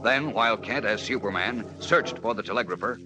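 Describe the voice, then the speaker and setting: A man's voice: lowish voice, fairly quick, background noise. A man narrating a 1940s radio serial as announcer, theatrical and full of suspense. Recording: vintage radio broadcast recording.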